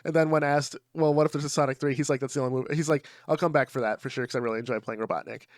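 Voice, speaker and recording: A man co-hosting a casual podcast, swearing freely, laughing often and talking excitedly. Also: mid-range voice, racing, dry recording, clean studio sound. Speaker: podcast co-host. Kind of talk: casual chat.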